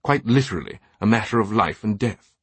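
A man's voice: fairly low pitch, lively intonation, fairly quick, breathy edge, no room sound. A man voicing a jovial, posh older British knight in an audiobook, breezy and blustering with theatrical indignation. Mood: unamused.

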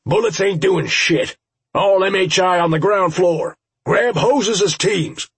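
A man acts out a gruff older monster-hunter boss for an audiobook. The voice is raised high and husky, barking blunt, commanding orders. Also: slow pace, full character voice. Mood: angry commanding. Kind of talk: audiobook character voice.

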